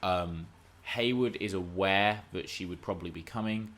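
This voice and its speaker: Low voiced guy on a movie podcast, chatting casually but really arguing his points. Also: moderate pace, fairly bright tone. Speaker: podcast co-host. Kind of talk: casual film and TV discussion.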